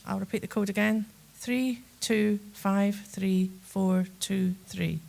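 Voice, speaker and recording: A woman chairing a conference session, introducing speakers and reading out a code in a deliberate way. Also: medium pitch, fairly even intonation, creaky, darkish tone. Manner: slow, deliberate announcing.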